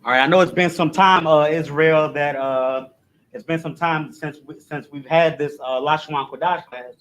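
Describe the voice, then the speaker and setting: A man's voice: fairly even intonation, moderate pace, background noise. A man leading a recorded language class in a casual, repetitive way, often adding "you know what I'm saying" in a dropped voice. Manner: recites letters loudly and rising in pitch. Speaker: class instructor.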